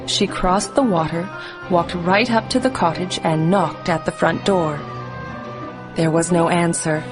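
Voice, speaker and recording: A woman's voice: on the low side, a little slow. A woman narrating a scripted bedtime story for children as a storyteller. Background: music.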